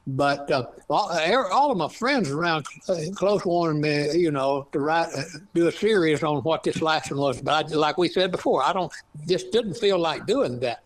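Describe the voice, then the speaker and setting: An elderly man in his eighties speaks with an East Texas drawl and a voice pitched a touch high. He talks slowly and haltingly, full of fillers and restarts, with a frequent chuckle. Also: animated, rough recording. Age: 80s. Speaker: guest author. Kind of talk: casual interview chat.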